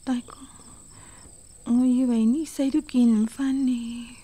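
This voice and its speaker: A woman playing a proud swordswoman and wife in a radio drama, expressive and dramatic. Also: a little high, slow pace. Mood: dreamy, longing. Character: proud swordswoman, wife, tender then sternly indignant. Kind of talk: Cantonese radio drama acting.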